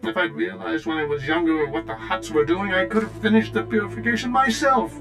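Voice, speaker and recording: A man's voice: sits high, fairly even intonation, a little fast, gravelly. An actor playing a bitter, resigned and weary old man in a video game. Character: bitter, defeated old male local, resentful and weary. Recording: music behind the voice.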